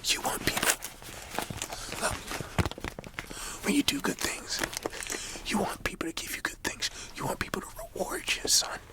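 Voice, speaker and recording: A man playing a stern but caring high school principal in a whispered ASMR roleplay, scolding a student in informal, folksy language with a breathy voice. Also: moderate pace, pauses often, natural voice. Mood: scolding, earnest. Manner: informal, admonishing, folksy phrasing.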